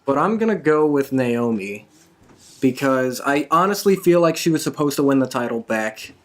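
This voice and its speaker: A man's voice: mid-range voice, animated, moderate pace. A man hosting a casual, conversational discussion show about sports entertainment. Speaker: podcast host.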